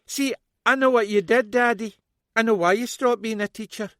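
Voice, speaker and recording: A man's voice: very high-pitched, steady tone, normal speed, fairly bright tone, dry recording, clean studio sound, pitched above the performer's own voice. A man voicing a bitter, scornful young Scottish woman who speaks in an accusing way. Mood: contemptuous.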